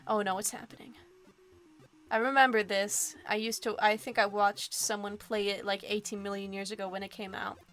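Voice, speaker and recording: Bright, giggly young woman streamer. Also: medium pitch, flat delivery, normal speed. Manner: playful, giggly, comments between read lines. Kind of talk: playing and reading a dating game aloud.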